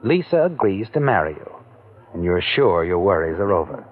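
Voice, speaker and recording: A man's voice: sits low, sing-song, normal speed, narrow, tinny audio. A male narrator speaking to the listener in second person, with a taunting, insinuating manner, in an old radio recording.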